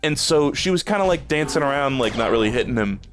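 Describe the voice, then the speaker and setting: A man's voice: mid-range voice, animated, fast, faint music behind. A man streaming a game, rambling in casual chat with plenty of swearing and fillers, a bit phlegmy in the throat. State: a little phlegmy.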